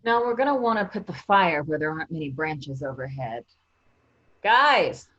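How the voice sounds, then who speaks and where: A woman's voice: low voice, big pitch swings, normal speed, fairly bright tone, exaggerated voice. A woman playing a practical, teasing member of a travelling theater troupe in a staged play reading. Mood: wary. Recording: dull, lo-fi sound.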